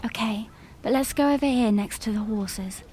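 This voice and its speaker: A woman's voice: a little high, normal speed, warm tone, a little hiss or hum, natural voice. A woman playing an earnest, dutiful young princess with formal phrasing in a fan audio drama. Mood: earnest, pondering.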